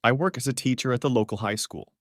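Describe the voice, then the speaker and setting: A man teaching beginner English, reading short model sentences clearly and saying each one twice. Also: mid-range voice, no room sound, clean studio sound. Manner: says each phrase twice, clearly and deliberately.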